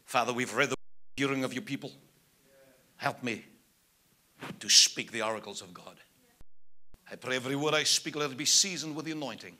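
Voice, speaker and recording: An older man from South Africa, a native Afrikaans speaker with a South African accent, preaching from a church pulpit, moving from soft asides to loud, emphatic preaching. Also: mid-range voice, fairly quick, long pauses. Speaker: evangelist. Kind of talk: sermon. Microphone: pulpit mic, medium camera.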